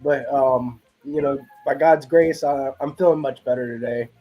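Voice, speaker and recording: A man chatting casually, his voice a little raspy from being sick. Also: mid-range voice, fairly even intonation, normal speed, muffled recording, faint music behind. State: slightly raspy from illness. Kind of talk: casual chat.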